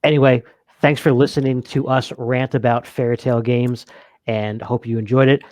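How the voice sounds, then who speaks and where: Casual man, mid-pitched, close dry sound. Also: little pitch movement, normal speed, darkish tone, dry recording. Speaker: co-host of a board game review show. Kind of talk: casual chat, asking questions and signing off to viewers.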